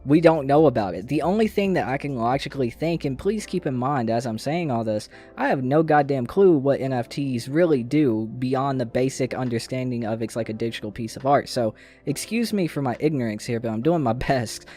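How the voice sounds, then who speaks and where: A man's voice: mid-range voice, expressive, a little fast, warm tone, soft background music. A man hosting a casual gaming news video, speaking in an informal, slangy way with some mild swearing. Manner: informal, slangy, mildly profane. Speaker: gaming YouTube host.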